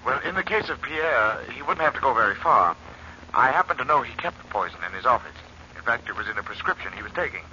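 A man's voice: mid-range voice, very animated, quick pace, pushed and bright. An actor playing a matter-of-fact male doctor, through a telephone filter in a 1940s-style radio drama. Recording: muffled, noisy radio telephone effect.

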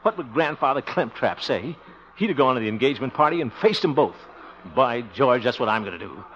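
An actor playing a flustered, henpecked bachelor in a 1950s radio sitcom, blustering, stammering and chuckling. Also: male voice, medium pitch, lively intonation, a little fast, natural voice. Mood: nervous musing. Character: flustered, henpecked small-town bachelor, self-congratulating. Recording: noisy, muffled old radio recording.

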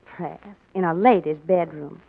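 An actress playing a spoiled, proud young Southern belle in a 1940s radio drama, coquettish and taunting. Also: female voice, sits low, fairly even intonation, unhurried, vocal fry, breathy edge, full character voice. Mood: teasing. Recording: dull 1940s radio broadcast, music behind.

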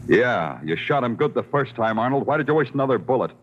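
An actor playing a hard-boiled, sardonic New York police detective in a 1950s radio crime drama, with tough, clipped delivery. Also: male voice, mid-range voice, very animated, quick pace, noisy background, natural voice. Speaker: lead actor and narrator. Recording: dull old radio recording with music.

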